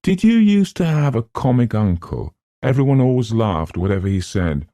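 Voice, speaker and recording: A man's voice: medium pitch, sing-song, normal speed, dark tone, dry recording, pitched above the performer's own voice, lightly acted. A man voicing a playful, teasing young woman with a chatty, whimsical manner in an audiobook.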